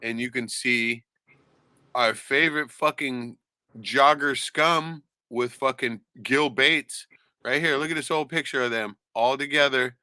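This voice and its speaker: A man in his late fifties on a livestream, loud and profane, laughing often. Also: mid-range voice, measured pace, clean tone, no room sound. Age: 50s. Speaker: livestream co-host. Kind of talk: casual livestream chat.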